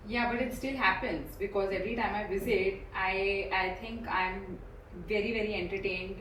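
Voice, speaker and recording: A woman's voice: medium pitch, fairly even intonation, moderate pace, fairly bright tone, a little hiss or hum. Indian woman, chatty, echoey and distant. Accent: Indian English. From Delhi, India. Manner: chatty, leads the questions. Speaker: podcast co-host. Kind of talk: casual chat. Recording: echoey room, distant mic.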